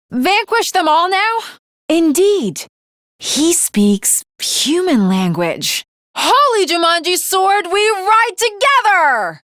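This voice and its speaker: A woman's voice: very high-pitched, a bit bright, dry recording, clean studio sound, full character voice. A woman voicing an earnest, lovestruck teenage girl swordswoman in an anime video game, with formal, archaic, dramatic diction. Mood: puzzled, wary, then indignant, battle-ready.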